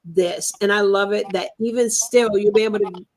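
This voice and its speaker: A woman's voice: medium pitch, steady tone, moderate pace, a little mellow. A woman hosting a live book talk show, effusive and thankful, with frequent fillers. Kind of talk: casual book talk interview.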